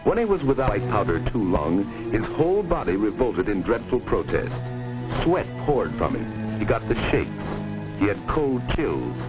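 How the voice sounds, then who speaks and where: A man narrates a vintage educational film from the 1950s. His low voice moves with lively emphasis, grave and dramatic. Music plays behind him on the old film soundtrack. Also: moderate pace, rough recording. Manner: grave, stark, dramatic delivery.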